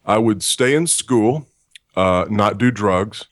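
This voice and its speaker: A man who works as a voice actor, with a deep voice and a dry, self-deprecating sense of humor, answering interview questions. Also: animated, slow, no room sound.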